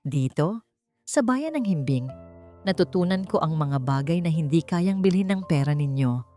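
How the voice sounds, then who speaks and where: A woman voicing a calm, resolute grown child who defies a powerful father in a story. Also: deep voice, normal speed. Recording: music bed behind the voice.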